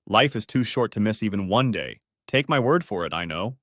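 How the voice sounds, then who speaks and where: A man's voice: on the low side, animated, moderate pace, fairly bright tone, dry recording, clean studio sound. A man voicing a teasing, frank female co-worker in a narrated story.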